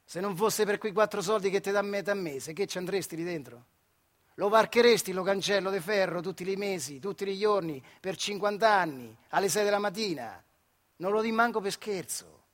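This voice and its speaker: A male stage actor plays an old retired factory worker with a notably high voice and a central Italian accent from the Marche. He is weary and bitter, with rueful irony. The delivery is a colloquial theatrical monologue steeped in dialect. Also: normal speed, lightly acted. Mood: reflective.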